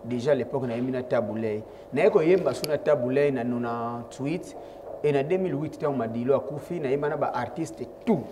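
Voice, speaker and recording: A man's voice: mid-range voice, fairly quick, background noise. A man from Kongo Central answering interview questions as a rapper, proud and assertive, speaking of himself by his stage name. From Kongo Central, DR Congo. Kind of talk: interview answers mixing Lingala and French.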